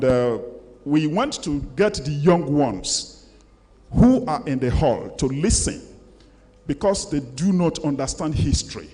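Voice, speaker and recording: Older nigerian guy giving a formal lecture, slightly high voice, emphatic and deliberate, lots of hall reverb. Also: a little mellow. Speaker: guest lecturer. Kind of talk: formal public lecture, reading and commenting. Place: large lecture hall, indoors. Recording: echoey hall with long reverb.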